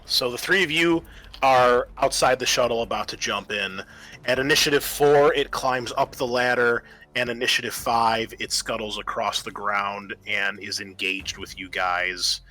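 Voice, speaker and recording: A man's voice: medium pitch, normal speed, pushed and bright, rough recording. A man running a tabletop roleplaying game as game master, narrating and making rulings in a conversational, improvised way.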